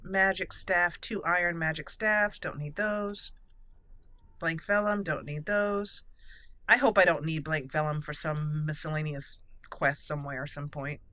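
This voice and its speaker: A woman's voice: deep voice, fairly even intonation, unhurried, bright, forceful tone. A woman doing casual roleplay game commentary, chatty and thinking aloud as she plays. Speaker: let's play host.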